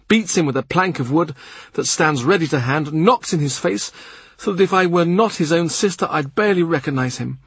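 A man voicing a brisk, sharp-tongued young woman in a storybook reading, indignant and sarcastic. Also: medium pitch, husky, no echo, pitched above the performer's own voice. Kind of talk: audiobook character voice.

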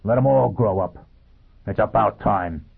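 A man's voice: on the low side, normal speed, vocal fry, husky. A man voicing a savage, fanatical fugitive in a science fiction audiobook, exhorting in short emphatic bursts. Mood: defiant. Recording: muffled, phone-like narrow band.

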